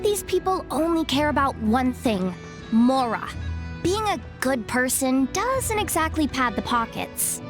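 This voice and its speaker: A woman's voice: sits high, big pitch swings, measured pace. A woman voicing a street-smart young female informant in a video game cutscene, wry, self-assured and conversational. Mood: wry, businesslike. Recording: music behind the voice.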